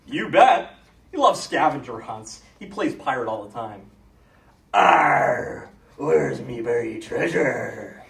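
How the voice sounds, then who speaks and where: A man's voice: medium pitch, expressive, slow, vocal fry, fairly bright tone, far from the mic, heavily acted. A man acting as a goofy, eager single father who gushes with over-the-top enthusiasm. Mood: excited. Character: goofy, eager dad, childishly excitable. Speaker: single father at a toy launch. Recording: echoey room, voice off-mic.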